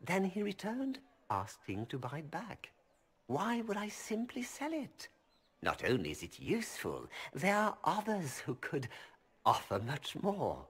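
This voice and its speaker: An oily merchant. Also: male voice, sits high, huge pitch swings, measured pace, fairly bright tone, exaggerated voice. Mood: smug, ingratiating. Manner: smooth, ingratiating, formal. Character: scheming male merchant, oily and polite. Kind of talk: video game voice acting.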